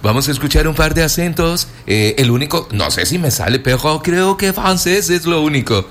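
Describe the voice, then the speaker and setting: A man's voice: a little high, animated, moderate pace, fairly bright tone, soft background music. Teasing radio host man. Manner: teasing, calls cohost pet names. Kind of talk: playful casual radio chat.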